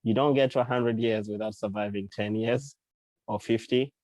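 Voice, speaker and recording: A man from Uganda, a guest author, talking through his ideas in a relaxed interview with frequent fillers and restarts. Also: fairly low pitch, normal speed, heavy background noise. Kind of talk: casual interview talk.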